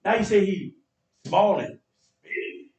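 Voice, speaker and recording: An actor in a stage play as a nosy friend who prods and repeats himself insistently. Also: male voice, high voice, a little slow, darkish tone. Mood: curious, prodding. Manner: insistent, repetitive prodding. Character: nosy, goading male friend pressing for a story. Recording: roomy, distant stage recording with music.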